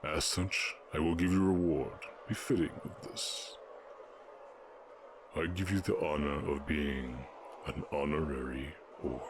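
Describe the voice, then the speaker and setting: A man voicing a stern, imposing orc warchief in a fantasy audio roleplay, speaking in deliberate, imperious proclamations. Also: a bass voice, level tone, background noise. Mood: solemn proclaiming.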